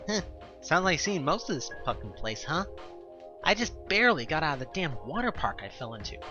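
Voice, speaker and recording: Male actor as grumpy male companion, sarcastic, foul-mouthed, wide pitch swings, music behind. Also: sing-song, brisk, bright, forceful tone, dull audio. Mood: grumbling. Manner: grumbling, sarcastic, slangy.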